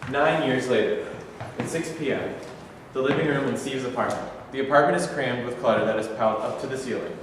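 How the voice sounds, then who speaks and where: A man reading stage directions aloud in a steady, matter-of-fact way during a staged play reading, recorded in an echoey rehearsal studio. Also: mid-range voice, brisk, noisy background. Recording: echoey studio room, distant from camera.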